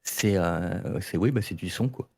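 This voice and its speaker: A man's voice: low-pitched, unhurried, creaky, slight background noise. A man talking informally in a debate, explaining his views in an emphatic, colloquial way. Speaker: audio fiction maker in a panel debate. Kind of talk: informal debate, casual discussion.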